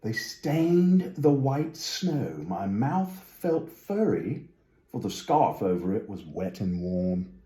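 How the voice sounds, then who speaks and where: A man's voice: fairly low pitch, big pitch swings, slow, dark tone, echoey room. A man reading a children's story aloud, with whimsical, musing asides to the listener. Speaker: storybook reader.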